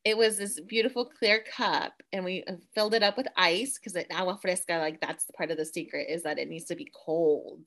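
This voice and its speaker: A woman co-hosting a casual podcast, chatting in an animated way and slipping into Spanish now and then. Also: fairly low pitch, brisk, few pauses. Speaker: podcast co-host. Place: Central Coast, California.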